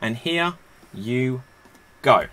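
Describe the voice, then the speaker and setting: A man's voice: medium pitch, expressive, drawn-out words, pushed and bright. A man hosting an online improv comedy game over a video call, wearing a headset and announcing the rounds in an upbeat way.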